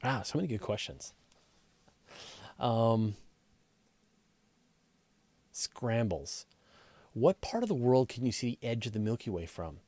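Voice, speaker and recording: A man's voice: medium pitch, sing-song, slow pace, pauses often, darkish tone, no echo. A man hosting a casual live science Q&A, reading out questions and answering off the cuff, thinking aloud and rephrasing as he explains in a conversational way. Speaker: host of a live astronomy Q&A, science journalist.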